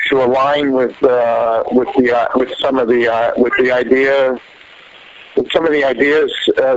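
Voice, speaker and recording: Halting man on a noisy phone line. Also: medium pitch. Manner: halting, rambling, repeats and reworks phrases. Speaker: caller to a prayer line. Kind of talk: unscripted phone conversation. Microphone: telephone. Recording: muffled, noisy phone call recording.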